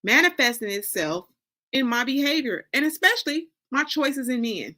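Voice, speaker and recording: Bright, expressive American woman reading a story. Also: high-pitched, normal speed. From Detroit, Michigan, United States. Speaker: author reading her own novel. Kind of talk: dramatic first-person story reading.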